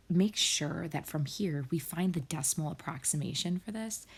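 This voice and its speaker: A woman's voice: sits low, gravelly, a little mellow, breathy edge. A woman math teacher talking students through problems step by step in a recorded screen lesson, often dropping to a near whisper.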